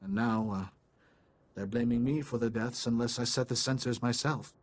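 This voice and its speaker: Guy playing polite eager ambassador in a space game, mid pitch, chatty, kinda apologetic. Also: moderate pace, lightly acted. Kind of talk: video game voice acting.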